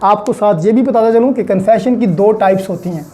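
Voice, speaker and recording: A man's voice: high-pitched, brisk, dark tone. A man with a Pakistani accent giving an explanatory law lecture in a didactic way, repeating key points and mixing in English terms.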